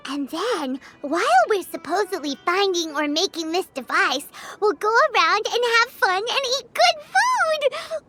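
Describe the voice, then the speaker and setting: Tiny bubbly game fairy sidekick, super high squeaky kid voice, bounces all over. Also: female voice, sing-song, measured pace, pushed and bright, soft background music, exaggerated voice. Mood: excited scheming. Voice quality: squeaky, childlike. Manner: exclamatory, refers to self in third person. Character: small excitable childlike fairy companion girl, eager and bubbly. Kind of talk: video game voice acting.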